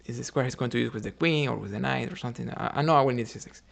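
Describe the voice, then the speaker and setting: A man's voice: medium pitch, rapid-fire, vocal fry. A man from Cuba streaming chess, thinking aloud about his moves, often adding "right?" and chatting with viewers. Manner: chats with viewers between moves. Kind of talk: live chess commentary while playing.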